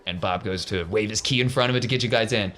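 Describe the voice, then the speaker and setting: A man's voice: on the low side, fast, pushed and bright. A man acting as game master, narrating a tabletop role-playing game in a casual, playful way.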